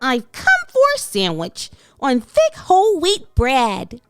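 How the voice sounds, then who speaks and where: A woman's voice: high-pitched, wildly swooping pitch, takes it slowly, no echo, clean studio sound, faint music behind, pitched above the performer's own voice, heavily acted. A woman voicing a tiny, eager little girl who makes polite but bossy demands in a children's storybook. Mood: eager, insistent. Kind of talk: children's storybook read-aloud.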